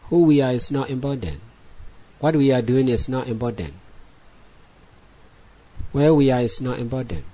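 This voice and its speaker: A man who is a native Burmese speaker gives guided meditation as a Buddhist teacher, in measured, repetitive short phrases with frequent pauses. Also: medium pitch, mellow. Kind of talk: guided meditation, spoken dhamma instruction. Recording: dull lo-fi recording.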